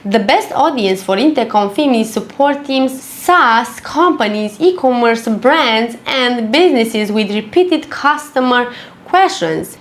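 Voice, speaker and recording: A woman narrating a scripted product review in a measured, clearly structured delivery. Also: a little high.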